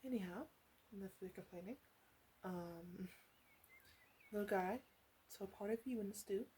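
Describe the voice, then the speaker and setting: A woman playing a flirty, teasing witch in an ASMR roleplay, coaxing the listener softly with playful asides. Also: mid-range voice, moderate pace, slight background noise, lightly acted. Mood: casual, teasing. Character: teasing, flirty witch in her three hundreds.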